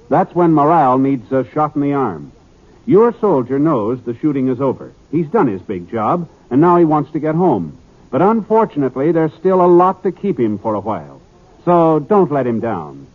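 An American man announcing on 1950s radio, reading a script in a polished, formal, deliberate manner. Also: fairly high pitch. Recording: narrow-band 1950s radio, music behind.

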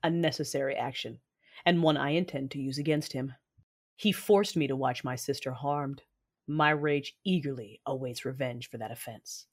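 A woman acting a proud, vengeful Sith commander and mother in an audio drama, narrating and speaking in a formal, measured, commanding way. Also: rumbling low. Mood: cold, vengeful. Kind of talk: first-person audio drama narration and dialogue.

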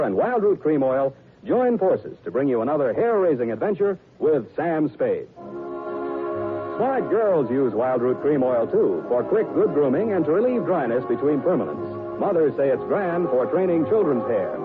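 A man's voice: fairly high pitch, sing-song, moderate pace. An American man working as a radio announcer, reading scripted sponsor copy in 1940s broadcast style. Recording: tinny old radio broadcast, faint music.

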